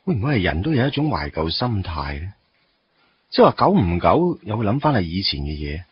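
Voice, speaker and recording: A low, husky Hong Kong Cantonese voice playing a wistful young office man in a radio drama. His pitch moves freely as he drifts between absent-minded musing and everyday chat. The recording is slightly muffled. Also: big pitch swings, fairly quick, full character voice. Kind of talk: Cantonese radio drama acting with inner monologue.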